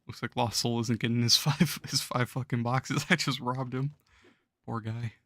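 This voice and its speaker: A hoarse young man losing his voice, chatting casually with viewers on a gaming livestream and chuckling often. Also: mid-range voice, fairly even intonation, moderate pace, sharp tone, dry recording, clean studio sound. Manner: laid-back, chuckles while talking to chat.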